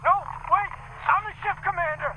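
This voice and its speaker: An actor playing a panicked guard shift commander in a sci-fi game audio log, shouting and pleading. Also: male voice, extremely high pitch, normal speed, narrow, tinny audio. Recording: noisy recorded audio log.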